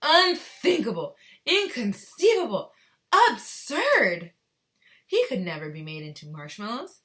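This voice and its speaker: A woman voicing an eccentric, excitable gentleman with an emphatic, theatrical manner in a children's storybook read-aloud. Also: on the high side, a little slow, heavily acted. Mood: indignant disbelief. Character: eccentric, excitable gentleman reassuring with grand words.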